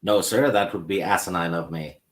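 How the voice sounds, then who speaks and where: A Norwegian man with a very deep, low voice and a Norwegian accent, speaking English, which is not his first language. He delivers dry, deadpan puns in a relaxed, conversational way. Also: moderate pace. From Norway. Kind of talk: casual chat.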